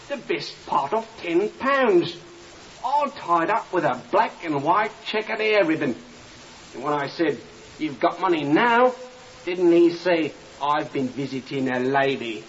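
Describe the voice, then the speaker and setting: A talkative, indignant barber, with a fairly high voice. He spins his story like a character in a 1950s radio play, on a dull recording. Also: a bit bright, a little hiss or hum. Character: garrulous, indignant small-town English barber. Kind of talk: 1950s radio drama acting.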